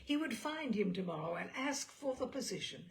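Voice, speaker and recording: A woman storyteller reading literary fiction aloud in an expressive, theatrical way with deliberate phrasing. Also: mid-range voice, creaky.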